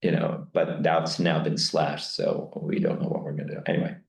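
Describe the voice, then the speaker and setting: A man's voice: extremely low pitch, big pitch swings, moderate pace, creaky, roomy sound. A man answering questions after a lecture, thinking aloud in a candid, rambling way with many restarts. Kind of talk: candid academic Q&A.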